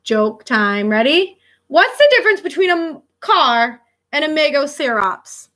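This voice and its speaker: A woman reading a children's nonfiction book aloud like an elementary teacher, expressive and child-directed, pausing for casual asides. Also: high-pitched.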